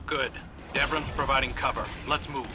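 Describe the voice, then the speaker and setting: An actor playing a determined, hard-edged sci-fi agent bent on revenge, giving terse orders in a video game. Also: male voice, mid-range voice, fairly even intonation, normal speed, very noisy, natural voice. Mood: driven. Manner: terse, resolute. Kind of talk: dramatic video game radio dialogue. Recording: very loud music and machinery behind.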